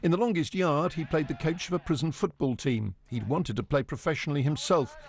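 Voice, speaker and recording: Creaky-voiced male news narrator. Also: on the high side, a little fast. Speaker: news correspondent. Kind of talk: scripted obituary narration.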